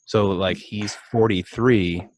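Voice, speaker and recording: Low-voiced man, casual rambling banter. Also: steady tone, slow pace, slight background noise. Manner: rambling, joking, lots of fillers. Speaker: podcast co-host. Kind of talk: casual chat.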